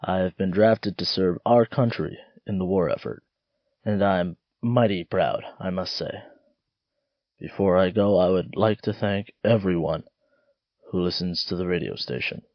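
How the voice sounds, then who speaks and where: Sincere drafted radio announcer, very low male voice, dull close broadcast. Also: unhurried, dry recording. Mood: sincere, grateful. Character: proud, sincere small-station radio announcer, drafted patriot. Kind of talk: audio drama radio sign-off.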